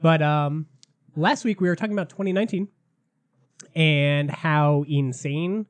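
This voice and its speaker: A man hosting a casual gaming podcast, a little sick and raspy, chatty and loose, laughing often. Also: fairly high pitch, unhurried. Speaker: podcast host.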